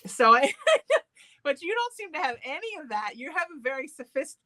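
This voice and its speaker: Bright, chatty woman radio host. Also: high voice, moderate pace. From Connecticut. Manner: chatty, expressive, frank. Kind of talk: casual interview chat.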